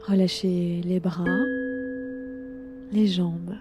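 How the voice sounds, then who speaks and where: A woman guiding a yoga nidra relaxation, speaking in a soothing, unhurried way with long pauses. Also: fairly low pitch, little pitch movement. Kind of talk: guided relaxation meditation. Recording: loud relaxation music under voice. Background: music.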